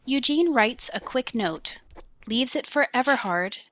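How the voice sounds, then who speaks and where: Woman narrator over loud noise. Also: high voice, lively intonation, measured pace. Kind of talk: scripted stage-direction narration.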